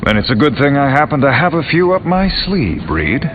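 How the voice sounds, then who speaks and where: A man's voice: mid-range voice, big pitch swings, brisk, music in the background, close to an everyday voice. A man playing an urbane, smug sorcerer in a video game, arch, sardonic and dryly teasing. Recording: muffled, clearly noisy game ambience.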